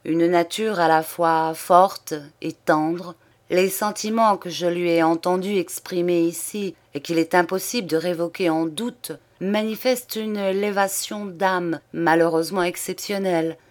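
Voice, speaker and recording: Audiobook role: pensive, courteous examining judge, male, formal and measured played by a female reader. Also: on the low side, fairly even intonation, close to an everyday voice. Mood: earnest, admiring. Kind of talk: audiobook character dialogue.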